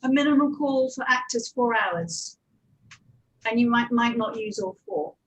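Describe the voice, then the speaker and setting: A woman's voice: a little high, a little slow, crowd noise, low-quality recording. A woman talking casually as a producer on a discussion panel, conversational and matter-of-fact. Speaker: radio drama producer, panelist.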